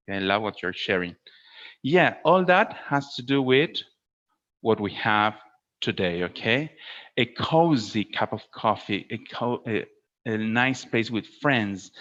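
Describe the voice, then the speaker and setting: Male webinar trainer. Forceful, bright delivery with frequent check-ins to viewers; dull online-call sound. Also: medium pitch. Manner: checks in often with "okay?". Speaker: teacher trainer, webinar presenter. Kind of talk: informal webinar lecture, addressing viewers.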